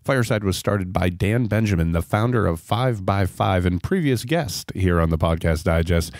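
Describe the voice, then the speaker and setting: A man with a very low voice hosts a podcast in a folksy, conversational style. The sound is dry and close, with very little room to it. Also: darkish tone, no room sound. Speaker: podcast host. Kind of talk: ad read and interview chat.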